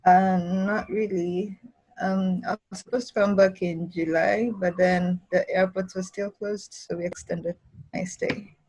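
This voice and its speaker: A woman, a class participant, answering calmly and matter-of-factly on a video call. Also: on the low side, measured pace, breathy edge, no echo. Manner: calm, matter-of-fact. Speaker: course participant. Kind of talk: casual video-call chat. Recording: dull, dry video-call audio.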